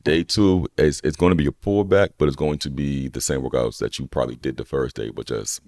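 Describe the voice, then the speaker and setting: A young man with a deep voice, a personal trainer chatting casually as a podcast guest, relaxed and rambling with lots of "you know what I'm saying.". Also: fairly quick, dry recording, studio quality. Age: young adult. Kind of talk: casual conversation.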